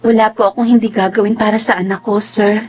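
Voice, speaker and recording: A woman's voice: mid-range voice, flat delivery, fast talker, darkish tone, lightly acted. An actress playing a hurt, proud single mother in a radio drama, with a Filipino accent and emphatic delivery. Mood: earnest pleading.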